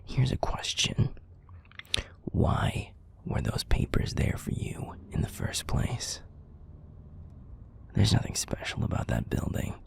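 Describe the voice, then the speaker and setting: A man playing a bitter, menacing werewolf pack leader in an audio roleplay, profane and commanding in a breathy growl. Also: lowish voice, very animated, slow, pauses often, in a whisper, exaggerated voice. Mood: weary, cold contempt. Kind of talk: audio roleplay drama acting.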